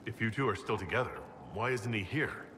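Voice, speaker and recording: An actor playing a calm, wry leader of an inquisition in a fantasy video game, over background noise. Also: male voice, fairly low pitch, a little fast, close to an everyday voice. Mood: serious. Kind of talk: cinematic game dialogue acting.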